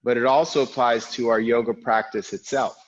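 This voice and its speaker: A man guiding an online yoga class, with a loud, strong voice, calm and reflective, speaking in unhurried phrases with pauses. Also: medium pitch, highs cut off. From United States. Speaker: yoga teacher. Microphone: recorded for an online class video.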